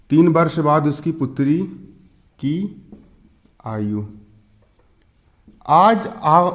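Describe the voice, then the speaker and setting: A man's voice: on the high side, darkish tone. A man in his twenties teaching a school maths lesson step by step, repeating himself, asking questions and answering them himself. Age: 20s. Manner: repetitive, asks and answers own questions, checks understanding.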